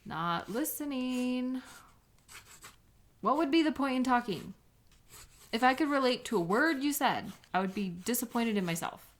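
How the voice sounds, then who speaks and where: A woman's voice: on the high side, expressive, a little slow, pauses often. A woman playing an embittered, contemptuous police sketch artist with a sarcastic, scornful manner in a dramatic audio roleplay. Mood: cold, scornful.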